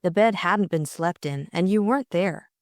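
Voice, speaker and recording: A woman voicing a brisk, cross, no-nonsense young woman in an audiobook. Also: on the low side, no echo, studio quality, close to an everyday voice. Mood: cool. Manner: curt, no-nonsense. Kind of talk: audiobook character voice.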